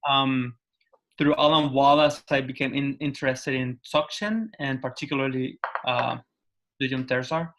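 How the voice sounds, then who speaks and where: A man's voice: medium pitch, moderate pace, a bit bright, poor audio. A man from Colombia chatting on a video call, hesitant and full of ums and yeahs. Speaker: workshop participant. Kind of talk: casual video call chat.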